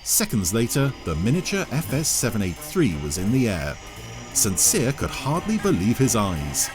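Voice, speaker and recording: A man's voice: medium pitch, huge pitch swings, moderate pace, music in the background. A man narrating a scripted audio adventure story.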